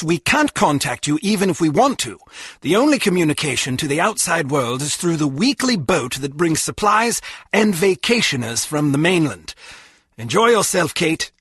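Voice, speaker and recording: An actor playing a stern, condescending spy agency official, delivering formal, clipped mission briefings in a video game. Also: male voice, fairly high pitch, sing-song, moderate pace, a bit bright, slightly rough, no echo, studio quality, exaggerated voice.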